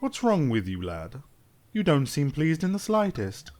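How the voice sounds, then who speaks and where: An actor playing a flattering middle-aged duke and father who fawns over his king in a fantasy audio drama. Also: on the high side, a little slow. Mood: jovial. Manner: obsequious and flattering.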